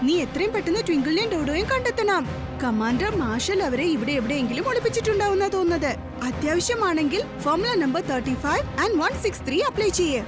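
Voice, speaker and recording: A woman's voice: very high voice, big pitch swings, fast talker, music in the background. A woman voicing a brave, determined young boy superhero in a dubbed children's cartoon, speaking earnestly. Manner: earnest, instructing.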